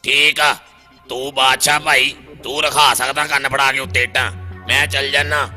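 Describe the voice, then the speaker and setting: A man's voice: mid-range voice, little pitch movement, normal speed, pushed and bright. A man voicing a stubborn, argumentative moneylender in a comic cartoon dub, with a Punjabi accent. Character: stubborn, ruthless moneylending trader, quick to complain. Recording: music behind the voice. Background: music.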